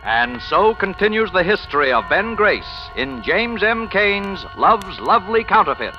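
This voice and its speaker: High, swooping vintage male radio announcer. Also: very animated, measured pace. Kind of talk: 1940s dramatic radio announcing. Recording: muffled old radio recording, music behind.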